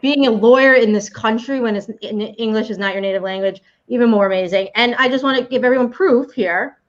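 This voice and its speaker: A woman's voice: on the high side, a little fast. A woman hosting a live online game show in an upbeat, friendly way, over a video call. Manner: upbeat, friendly, laughs easily. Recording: muffled lo-fi call audio.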